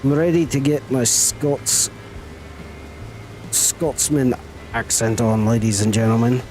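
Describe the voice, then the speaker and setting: A man's voice: mid-range voice, takes it slowly, darkish tone, a little hiss or hum, poor audio. A middle-aged man chatting casually with viewers as he streams a game, folksy and teasing. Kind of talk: casual live-stream chat while gaming.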